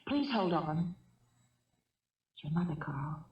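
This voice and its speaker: An actress playing a gentle, composed middle-aged housewife in a 1970s radio drama, speaking carefully, politely and soothingly. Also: female voice, low voice, expressive, slow, far from the mic. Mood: polite, cautious. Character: gentle, composed housewife and mother held hostage. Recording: roomy, muffled old radio broadcast.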